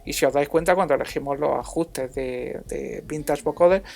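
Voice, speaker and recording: A man narrating an informal music software tutorial as an instructor, in a halting, hesitant way. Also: a little high, vocal fry.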